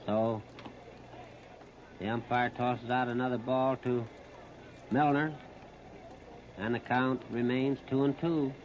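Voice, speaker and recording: A man's voice: medium pitch, fairly even intonation. Muffled, noisy vintage American baseball radio announcer, male. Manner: clipped, step-by-step narration in short phrases with pauses. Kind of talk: 1939 live radio sports commentary. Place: outdoor ballpark, broadcast position behind home plate. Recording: muffled, noisy 1930s radio disc recording.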